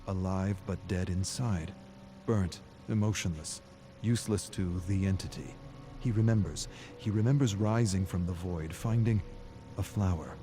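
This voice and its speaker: A man giving a dramatic scripted story narration, brooding and deliberate, in short phrases with weighty pauses. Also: sits low.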